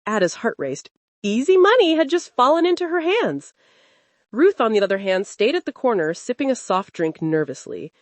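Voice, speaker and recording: A woman storyteller giving an expressive, suspenseful narration of a scripted dramatic folktale. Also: on the high side, normal speed, dry recording.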